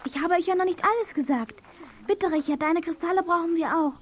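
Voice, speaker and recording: A woman's voice: very high-pitched, brisk. A woman playing a resourceful, decisive young girl leader in a children's audio play. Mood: confident. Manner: decisive, encouraging.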